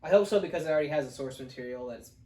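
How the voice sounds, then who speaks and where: A man's voice: on the high side, expressive, rapid-fire, mellow. A man chatting casually as co-host of a movie review. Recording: echoey room, distant microphone.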